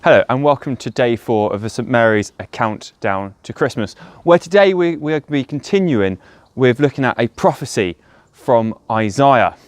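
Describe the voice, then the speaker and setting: A man's voice: medium pitch, lively intonation, normal speed, no room sound. A man giving a short devotional talk as a church speaker, explaining earnestly and posing rhetorical questions. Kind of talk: short sermon talk to camera or listeners.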